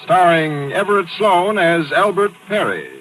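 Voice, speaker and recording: A man's voice: sits high, measured pace, low-quality recording. An American man hosting a radio mystery in 1940s announcing style. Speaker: mystery show host. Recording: old radio broadcast, faint music.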